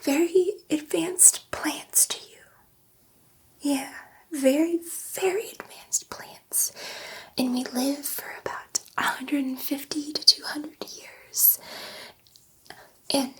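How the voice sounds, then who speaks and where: A woman playing a gentle, nervous alien scientist in an ASMR sci-fi roleplay, whispering softly in a hesitant, rambling, confiding way. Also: high-pitched, full character voice. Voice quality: soft breathy whisper. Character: gentle, nervous, chatty female alien scientist, friendly to humans.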